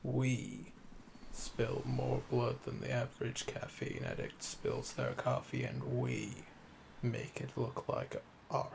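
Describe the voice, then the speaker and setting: A man voicing a calm, smug, flirtatious assassin in a slow, intimate ASMR roleplay, deliberate and condescending, often dropping to a murmur. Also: medium pitch, creaky, background noise, exaggerated voice. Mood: quietly menacing.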